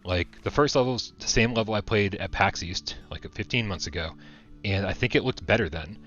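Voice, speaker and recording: A man's voice: on the low side, moderate pace, fairly bright tone, dull audio, faint music behind. A man casually commenting on a VR shooter during a livestream, chatty and upbeat, laughing often and full of fillers. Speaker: VR game streamer.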